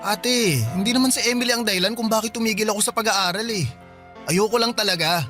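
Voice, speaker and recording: An actor with a Filipino accent playing a whiny, defensive younger brother of about twenty in a radio drama. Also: male voice, high voice, fast talker, pushed and bright, soft background music. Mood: defensive, upset. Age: young adult. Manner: whining, petulant.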